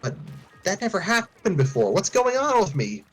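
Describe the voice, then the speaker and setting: A man's voice: sits high, wildly swooping pitch, normal speed, faint music behind, pitched above the performer's own voice. A man voicing an earnest, awkward young male trainer who stumbles over his words. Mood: flustered. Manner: flustered, stumbling restarts.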